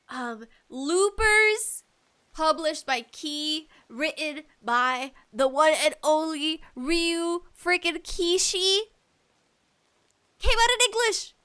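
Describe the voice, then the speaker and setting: A woman hosting a casual solo podcast about games, talking in an animated, playfully exasperated way with emphatic delivery. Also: very high voice. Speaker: podcast host.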